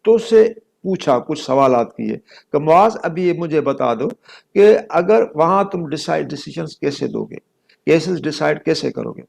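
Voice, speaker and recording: A man's voice: a little high, lively intonation, a little slow, gravelly, a little mellow. A middle-aged man with a Pakistani accent giving an explanatory law lecture, repeating points for emphasis and dropping in English legal terms.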